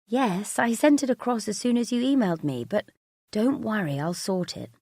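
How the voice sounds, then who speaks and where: A woman's voice: medium pitch, lively intonation, moderate pace, a little mellow, no room sound, voice pushed up. A female narrator plays a long-suffering younger sister in an audiobook story. She is kind and reassuring, with a weary, questioning way of talking. The recording is dry and close.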